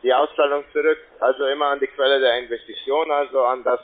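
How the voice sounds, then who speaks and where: A man working as a call centre agent, making a halting sales pitch with repeated words over the phone. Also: steady tone. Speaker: call centre agent selling trading investments. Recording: tinny narrowband phone line.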